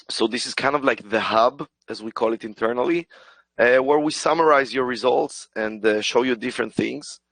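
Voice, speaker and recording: A man's voice: medium pitch, fairly bright tone, dry recording. A man presenting a webinar, explaining product tools with many hesitations and fillers, while losing his voice. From Israel. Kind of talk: presentation walkthrough.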